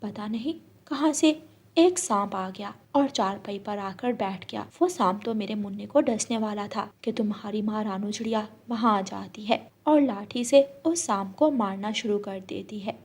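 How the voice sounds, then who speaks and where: A woman playing a kind, grateful, motherly farmer's wife in a children's cartoon story, speaking in a gentle, caring way. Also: mid-range voice, natural voice.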